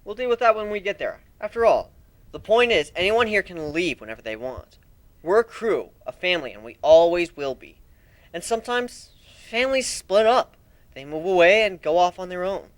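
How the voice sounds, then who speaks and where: A man giving an expressive, theatrical first-person narration as an amateur dramatic story reader. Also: high voice, normal speed, dry recording.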